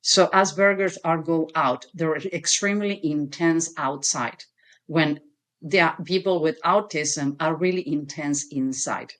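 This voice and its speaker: A woman from Argentina with an Argentine Spanish accent, speaking English as a guest expert on a video call, lively and quick to laugh. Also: low voice. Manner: lively, laughs easily, non-native grammar. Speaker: human behavior expert guest. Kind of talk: conversational interview.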